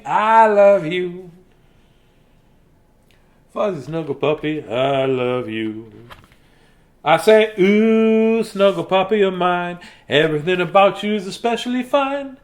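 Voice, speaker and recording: Man, fairly high-pitched, bedtime story reader, casual, silly and playful. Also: animated, takes it slowly. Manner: silly, playful, with singsong scatting.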